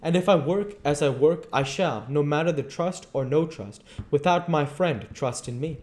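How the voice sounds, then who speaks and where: A man's voice: on the high side, normal speed, a little mellow, close to an everyday voice. A man voicing an old male professor who speaks in an earnest, pleading, old-fashioned way.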